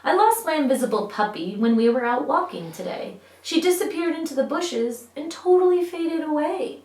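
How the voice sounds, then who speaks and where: A woman with a fairly high voice reads children's poetry aloud in an expressive, teacherly way. She phrases clearly for young listeners. The recording is roomy and echoey, with her sitting back from the microphone. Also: normal speed. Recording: roomy echo, distant microphone.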